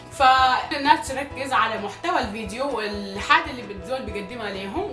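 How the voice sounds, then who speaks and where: A young woman from Sudan talks straight to her viewers in a chatty vlog, her Sudanese Arabic accent carrying a few Egyptian traces. She speaks very fast, her voice sits a bit high and slightly hoarse, and she keeps repeating phrases for emphasis. The room sounds echoey, with music playing underneath. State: slightly hoarse from recent illness. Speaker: YouTuber. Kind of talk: casual vlog talk to viewers.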